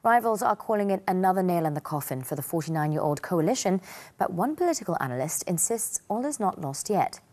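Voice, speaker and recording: Low-pitched woman news anchor. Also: big pitch swings, fast talker, darkish tone. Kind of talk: scripted news reading.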